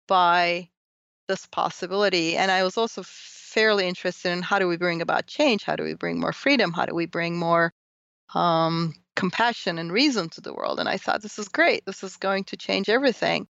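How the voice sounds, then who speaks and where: A woman from Turkey, a guest on an interview podcast, talking in an animated way with frequent laughs and quick restarts. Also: medium pitch, vocal fry, no echo, lo-fi sound.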